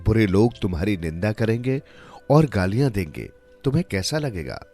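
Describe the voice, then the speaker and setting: A man as a probing sage teacher, lively intonation. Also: fairly low pitch, normal speed. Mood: probing, instructive. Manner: questioning, instructive. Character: calm sage teacher, probing his disciple. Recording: music under the voice.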